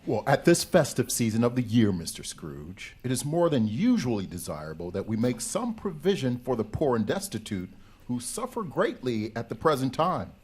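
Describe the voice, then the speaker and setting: A man playing a formal, well-meaning gentleman soliciting for the poor in a live radio drama. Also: medium pitch, very animated, moderate pace, slightly rough. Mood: courteous, hopeful. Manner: formal, courteous.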